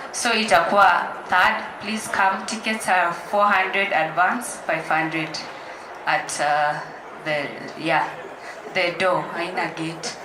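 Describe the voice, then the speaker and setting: Slow-talking Kenyan woman comedian. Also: fairly low pitch, roomy sound, background noise. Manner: joking, self-mocking, mixing Swahili and English. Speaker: comedian and poet. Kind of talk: stand-up banter and event promotion. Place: large hall with live audience.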